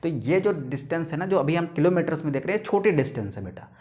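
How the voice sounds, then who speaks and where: Man, 30s, teacher, fairly high voice, asks and answers his own questions. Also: very animated, a little mellow. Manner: calls students "beta", asks questions and answers them himself; stretches key English terms out slowly, word by word. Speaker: biology teacher. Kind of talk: classroom lecture to students.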